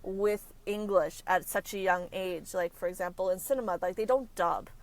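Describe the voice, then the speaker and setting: A woman's voice: medium pitch, a loud crowd. A woman career consultant talking in a casual podcast interview. She stops and corrects herself often as she speaks. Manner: halting, frequent self-corrections.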